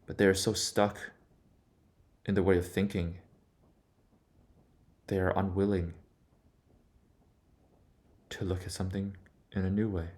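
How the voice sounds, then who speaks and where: This is a man with a low voice that stays fairly flat in its melody. He speaks in short, deliberate phrases, earnest and confessional. It sounds like a personal monologue in which he reflects aloud. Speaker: vlogger.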